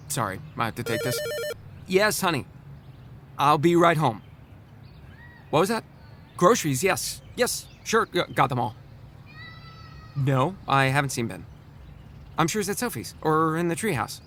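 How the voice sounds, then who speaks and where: Man as an animated, slightly flustered dad. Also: a little high, slight background noise, full character voice. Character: puzzled, slightly flustered family-man father. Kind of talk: children's audio drama acting.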